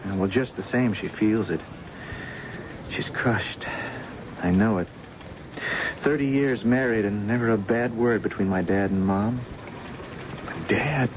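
An actor playing a grieving, bitter grown son with an earnest, sorrowful manner in a 1970s radio drama. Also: male voice, fairly low pitch, unhurried, long pauses, lightly acted. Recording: dull, noisy old radio broadcast recording.

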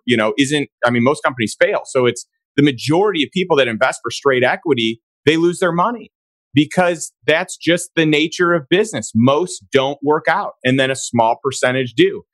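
Raspy, hoarse male host, mid-pitched, emphatic and upbeat, recorded dry. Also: fairly bright tone, no room sound. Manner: emphatic, enthusiastic. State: hoarse from days of talking. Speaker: podcast host. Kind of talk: casual interview chat.

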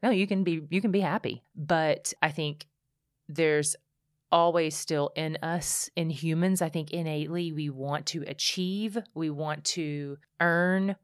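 Woman with a really low voice, podcast guest, talks candid and lively, dry sound. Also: no echo. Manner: candid, animated storytelling with many restarts. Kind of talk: casual conversation.

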